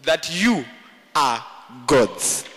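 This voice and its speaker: A man preaching a fiery sermon, shouting and calling out in a sing-song, emphatic way. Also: a little high, very animated, very slow, big-room sound, a little hiss or hum. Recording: live sermon with loud congregation.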